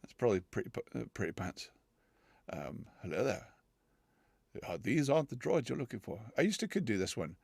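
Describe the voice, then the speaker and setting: A British man chats casually between quick accent attempts, joking at his own expense. His pitch swings up and down as he talks, and the recording is close and dry with no room sound. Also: big pitch swings, vocal fry, darkish tone, slightly rough, no room sound. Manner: self-mocking, laughs often, switches in and out of accents. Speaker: voice-challenge vlogger.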